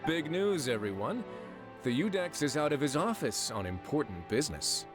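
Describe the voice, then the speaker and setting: Lively, wry male announcer. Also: on the high side, normal speed. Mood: wry, announcing. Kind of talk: video game voice acting. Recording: music playing behind the voice.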